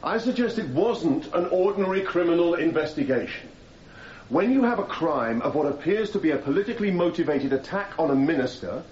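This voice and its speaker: An actor playing a formal, accusatory cross-examiner, pointed and insistent, in a radio courtroom drama. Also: male voice, on the high side, darkish tone, a little hiss or hum. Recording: echoey room, slightly dull sound.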